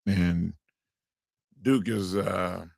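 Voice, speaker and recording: A hoarse man with a low voice co-hosts sports talk radio, halting and restarting his sentences often. The studio mic keeps his voice close and dry. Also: fairly even intonation, a little mellow, no room sound. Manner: halting, many fillers and restarts. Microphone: studio broadcast mic.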